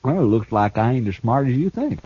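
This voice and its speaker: An actor playing a cool, smart escaped killer and thief in a 1950s radio drama, talking with a smooth, taunting ease. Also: male voice, medium pitch, very animated, fairly quick, warm tone. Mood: smug, taunting. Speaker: outlaw prisoner. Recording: dull old radio broadcast recording.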